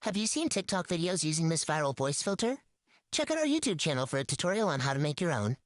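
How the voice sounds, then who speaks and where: A woman's voice: low voice, sing-song, fast, no room sound, clean studio sound. A woman reading a short scripted promo, her voice altered by a voice-changer filter.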